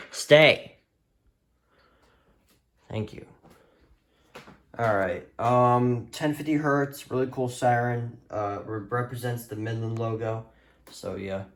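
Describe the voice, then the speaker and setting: A man giving a casual, rambling show-and-tell as a hobbyist, offhand and hesitant, sometimes muttering to himself. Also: mid-range voice. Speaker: hobbyist showing a radio collection.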